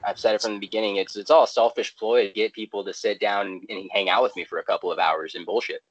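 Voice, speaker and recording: A man's voice: on the low side, fairly even intonation, fast talker, no room sound, dull audio. A man hosting a podcast in casual chat, rambling and wry. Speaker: podcast host.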